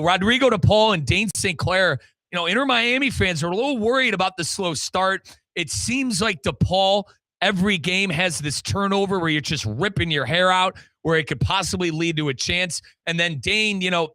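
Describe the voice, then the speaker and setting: A man hosting a sports talk show, interviewing a guest in an animated sports radio style. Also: high-pitched, moderate pace, no echo.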